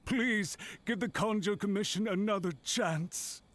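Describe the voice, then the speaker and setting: A man voicing a highly strung, suspicious retainer of a noble lady in a video game, formal and emphatic. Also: a little slow, a bit bright, no room sound. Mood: apologetic, pleading. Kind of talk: English video game voice acting.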